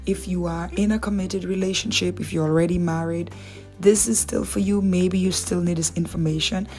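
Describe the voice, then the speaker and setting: A woman with a Dominican accent teaching from the Bible in a relaxed, conversational way, with rhetorical questions and playful asides. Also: lowish voice, moderate pace, faint music behind.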